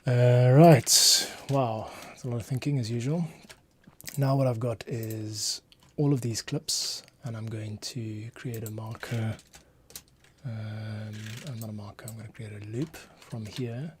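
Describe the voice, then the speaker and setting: A man giving a casual screencast tutorial, thinking aloud and muttering to himself with pauses as he works. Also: mid-range voice, fairly even intonation, husky. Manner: muttering to himself, pausing while working. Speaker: composer, streamer host. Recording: faint noise, keyboard and mouse clicks. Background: typing, mouse clicks.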